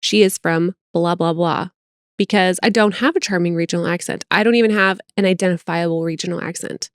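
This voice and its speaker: A middle-aged woman with a General American accent co-hosts a podcast. She talks in a chatty, expressive, self-deprecating way. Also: on the low side, a little fast, no room sound, studio quality. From United States. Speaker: podcast co-host. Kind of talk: casual chat.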